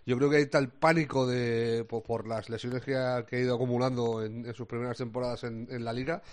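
A male basketball commentator with an accent from Spain and a mid-range voice. He talks casually and colloquially, halting often as he thinks aloud. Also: mid-range voice, little pitch movement. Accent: Spanish from Spain. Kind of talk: casual sports discussion.

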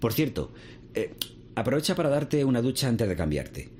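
An actor with a Castilian Spanish accent playing a world-weary middle-aged seaweed farm worker in conversational radio drama. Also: male voice, mid-range voice, big pitch swings, normal speed, darkish tone, natural voice. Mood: friendly, practical. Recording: faint background noise and ambience.

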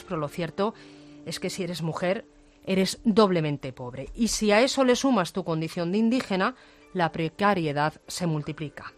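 A woman hosting a radio interview in a studio, asking lively, inviting questions. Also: lowish voice. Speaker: radio host.

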